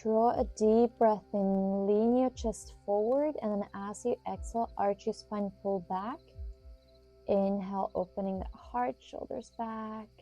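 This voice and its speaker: Female yoga instructor, deeply relaxed warm tone, soothing measured guidance for meditation, music underneath. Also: muffled recording.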